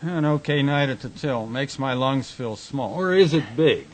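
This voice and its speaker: A man's voice: mid-range voice, normal speed, close to an everyday voice. A man acting a teasing, devoted husband and Navy veteran in a radio drama, wisecracking and plain-spoken. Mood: needling.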